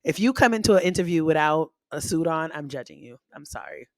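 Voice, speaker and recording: Animated man, dry close sound. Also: on the high side, brisk, no room sound. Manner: animated and expressive, with frequent restarts; frank and self-teasing. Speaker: guest podcaster. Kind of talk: casual chat.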